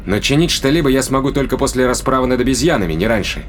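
An actor playing a calm, practical spaceship captain with a wry, casual manner in a science fiction audio drama. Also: male voice, medium pitch, quick pace, a little hiss or hum, close to an everyday voice. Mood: calm, reassuring.

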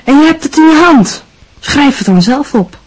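A woman's voice: high voice, lively intonation, moderate pace, a little mellow. A woman acting a pushy, disbelieving teenage boy in a radio play, insistent and probing.

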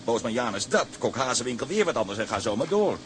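Lively, proud ship's engineer played by an actor. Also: male voice, mid-range voice, fast talker, a little hiss or hum, close to an everyday voice. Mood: proud, knowing. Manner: emphatic, animated. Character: proud, emphatic chief engineer of a tugboat. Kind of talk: radio drama acting.

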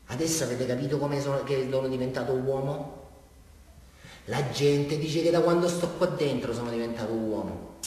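A man's voice: mid-range voice, normal speed, darkish tone, lightly acted. An actor playing an unhinged, boastful southern Italian prisoner confessing to a policeman in a rambling theatrical monologue. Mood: rambling, confiding. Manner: rambling, volatile, repeats himself. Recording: echoey hall, distant mic, faint noise.

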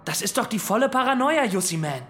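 A high male voice plays an awkward young outsider in a radio drama. He is an earnest, naive math whiz who speaks hesitantly. Faint noise sits behind him. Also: lively intonation, brisk, a bit bright. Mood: defensive, indignant. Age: young adult. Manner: hesitant, stammering. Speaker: actor.

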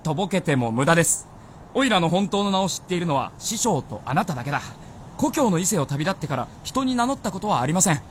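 High-pitched, fervent young gunner. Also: male voice, very animated, fast, slight background noise. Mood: grateful. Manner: earnest, fervent, boyish. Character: hot-headed, earnest young male gunner, devoted to his master. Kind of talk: Japanese radio drama acting.

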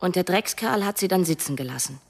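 A woman's voice: lowish voice, lively intonation, fairly quick. An actress playing a young woman who narrates a radio drama, probing and direct with a wry edge. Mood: probing, challenging. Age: young adult. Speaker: first-person narrator.

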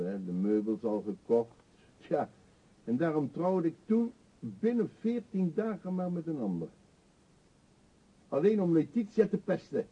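An actor playing an eccentric, talkative older beggar in a radio drama, pushy and wry, confiding theatrically. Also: male voice, a little high, breathy edge, exaggerated voice. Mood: bitterly amused. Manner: chatty, insistent, theatrically confiding. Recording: dull, muffled old radio play recording.

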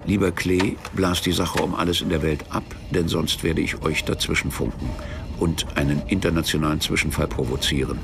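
Male radio drama narrator, extremely deep and creaky, expressive intonation, music underneath. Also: brisk, background noise.

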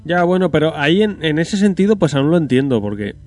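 A man's voice: fairly high pitch, very animated, fairly quick, darkish tone, soft background music. Blunt, skeptical man. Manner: blunt, swears, skeptical, laughs often. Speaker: podcast co-host. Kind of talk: casual gaming chat.

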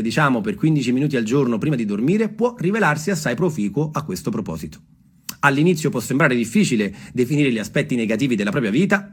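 A man telling stories and reading texts aloud as a theatre performer, expressive and deliberate in his delivery. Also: fairly high pitch, slightly rough. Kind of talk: storytelling with dramatic readings. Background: music.

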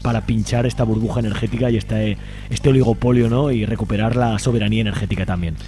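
A man's voice: lowish voice, steady tone, fast, dark tone. A man with an accent from Spain, hosting a radio show in an informal, colloquial way. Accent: Spanish from Spain. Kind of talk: casual radio interview and presenting.

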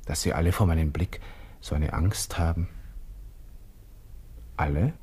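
An actor playing a brash, bored young Viennese army lieutenant, musing snappishly in a radio drama interior monologue. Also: male voice, extremely low pitch, measured pace, warm tone, slightly rough, full character voice. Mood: irritated, cocky. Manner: musing, snappish, self-important. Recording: music behind, 1960s radio studio recording.

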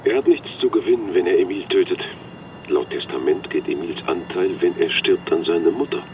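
A man's voice: low-pitched, fairly quick, close to an everyday voice. An actor playing a weary, grumbling policeman in a radio drama, speaking curtly and reluctantly over the phone. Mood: tired, sceptical. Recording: dull, faintly noisy phone line.

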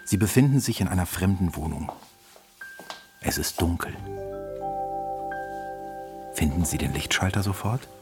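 A man narrating a scripted audio guide, speaking directly to the listener. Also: deep voice.

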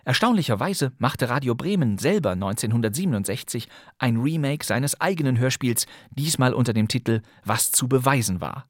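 A man hosting a crime podcast, calmly announcing credits and background. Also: medium pitch, moderate pace, few pauses, no echo, clean studio sound. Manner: calm, informative announcing. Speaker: podcast host. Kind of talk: podcast narration.

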